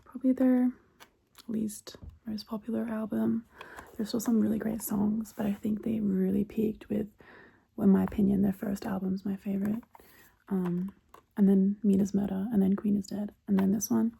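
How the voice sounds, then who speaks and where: A woman speaking in an intimate, unhurried, breathy whisper, chatting casually in ASMR style as a shopkeeper. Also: mid-range voice, level tone, slight background noise. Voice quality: breathy whisper, mostly unvoiced. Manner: unhurried, soft-spoken, intimate. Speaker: ASMR roleplay host as cassette shop keeper.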